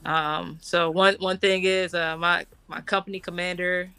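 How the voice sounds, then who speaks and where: A middle-aged woman from Washington, D.C., an Army officer and guest in a casual interview. She talks in a relaxed, chatty way, laughs often and uses many fillers. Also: fairly low pitch, unhurried, smooth.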